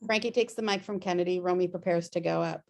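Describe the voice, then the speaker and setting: A woman's voice: lowish voice, steady tone, quick pace, gravelly. A woman reading screenplay stage directions as scripted narration on a video-call table read.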